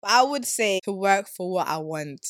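A young woman from Sweden talks through her podcast questions in a loose, joking, slangy way, and her voice sits at an ordinary middle pitch. The sound is dry and close, with no room around it. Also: no echo. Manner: animated, playful, slangy, quick to laugh. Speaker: podcast host. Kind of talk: casual solo podcast chat.

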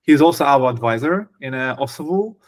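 A man's voice: medium pitch, animated, a little fast. A man hosting a podcast, introducing a guest and asking questions in a hesitant way with many fillers. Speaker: podcast host. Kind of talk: casual interview hosting.

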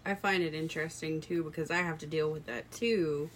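A woman with a naturally low voice co-hosting a casual podcast, speaking candidly about herself. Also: fairly quick. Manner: candid, personal. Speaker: podcast co-host. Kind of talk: casual discussion.

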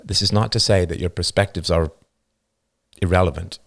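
A man's voice: low-pitched, vocal fry. A man as an earnest male counselor. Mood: gentle. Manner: earnest, quick bursts of urgent reasoning.

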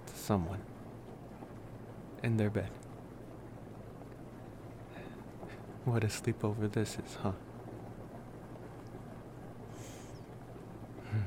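A man playing a laid-back, wry college-age vampire in an intimate ASMR roleplay, rambling casually and hesitantly with sighs and pauses. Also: on the low side, level tone, background noise, lightly acted. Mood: musing, teasing.

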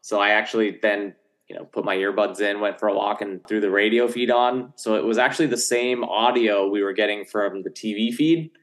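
A man's voice: fairly low pitch, steady tone, fairly quick, a bit bright. An American man co-hosting a podcast, chatting casually about sports in an easygoing, noncommittal way. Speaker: podcast co-host.

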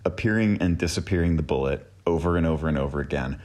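A man's voice: very deep voice, quick pace, a little mellow. A man hosting and telling a personal story in a casual, conversational storytelling style. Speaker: host and storyteller.

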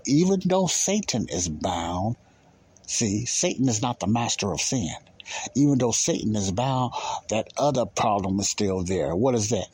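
Husky, low-voiced man preaching informally. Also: a little mellow. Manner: emphatic, repetitive, asks and answers own questions. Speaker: preacher and Bible teacher. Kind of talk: informal Bible teaching sermon.

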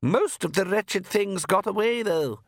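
A man's voice: high voice, lively intonation, unhurried, dry recording, studio quality, pitched above the performer's own voice, exaggerated voice. A man voicing a vampire mother in an audiobook, doting toward family and commanding with servants. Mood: mild.

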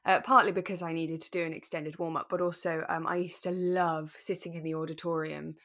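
A British woman co-hosting a relaxed chat about radio drama, expressive and enthusiastic in her delivery. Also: low voice, brisk. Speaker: podcast co-host and actor.

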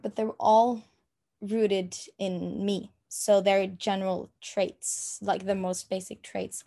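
A woman's voice: mid-range voice, a little mellow. A young woman from Norway with a Norwegian accent, telling an interviewer about her inner worlds in a hesitant way, with many ums and restarts. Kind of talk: casual interview talk. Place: room at home.

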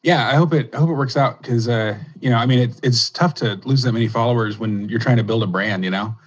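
A man's voice: medium pitch, quick pace. A man hosting an interview podcast, laid-back and joking with his guest. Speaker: podcast host. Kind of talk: casual interview chat.

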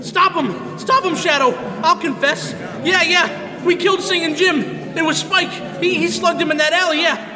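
A man playing a cowardly, whining gangster sidekick in a radio drama, jumpy, pleading and excitable. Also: very high voice, normal speed, crowd noise. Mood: terrified, squealing. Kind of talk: old-time radio drama acting. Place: live performance in a church hall. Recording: live stage in echoing hall, audience.

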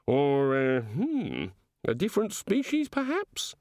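Man putting on an eager, high-pitched, innocently curious god. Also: wildly swooping pitch, slow pace, a little mellow, no echo, studio quality, exaggerated voice. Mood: bright, curious. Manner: clinical, inquisitive, oblivious, precise wording.

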